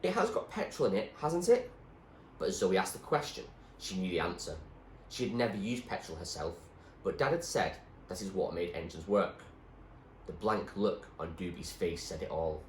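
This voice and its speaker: A man giving an expressive narration of a storybook, like a teacher reading aloud. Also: sits low, moderate pace. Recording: echoey room, faint background noise.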